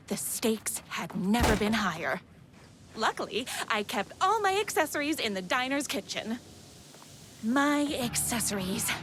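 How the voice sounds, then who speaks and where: An actress playing a perky, obsessive young waitress and superfan in a video game, gushing and melodramatic. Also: female voice, a little high, background noise. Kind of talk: theatrical game dialogue and self-narration. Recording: music under the voice.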